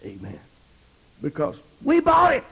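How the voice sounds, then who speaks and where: A middle-aged American man preaching a holiness sermon, moving between folksy storytelling and loud exhortation, punctuating his phrases with amen. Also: very high voice, huge pitch swings, a little slow, gravelly. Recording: dull, lo-fi archival sermon recording.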